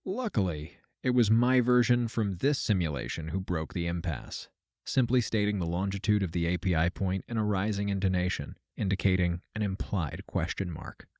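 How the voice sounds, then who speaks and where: A man's voice: deep voice, normal speed, no echo. An actor playing a wry, matter-of-fact man who narrates an audio drama in dry, formally worded first person. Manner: dry, deadpan, wordy formal phrasing. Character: wry, matter-of-fact man recounting his trip between simulations. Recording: music under the voice.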